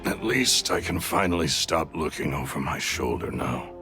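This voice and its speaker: A deep, gravelly villain of a thief, cold and defiant, his voice swinging wide between sneers and low mutters. Game music plays behind him. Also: animated, moderate pace. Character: scheming middle-aged male thief, bitter, unrepentant. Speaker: villain confessing. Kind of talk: video game voice acting.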